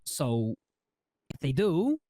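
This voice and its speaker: A man in a roleplay meeting, playing an ex-prosecutor who lays out legal advice in a measured, lecturing way with frequent restarts. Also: on the high side, wildly swooping pitch, mellow, no room sound. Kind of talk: in-character roleplay meeting talk.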